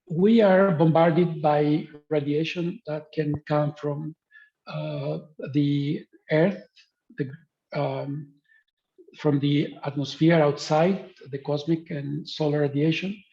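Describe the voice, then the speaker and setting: A man's voice: a little high, steady tone, takes it slowly, warm tone. A man from Greece giving a webinar lecture over a video call, speaking with many hesitant fillers. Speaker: guest speaker, professor. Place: remote spot in the countryside. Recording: dull lo-fi call audio.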